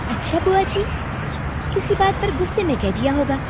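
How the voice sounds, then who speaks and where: A woman voicing a sweet, polite and devoted young daughter-in-law in an animated moral story. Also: very high voice, moderate pace, very noisy. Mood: polite, reassuring.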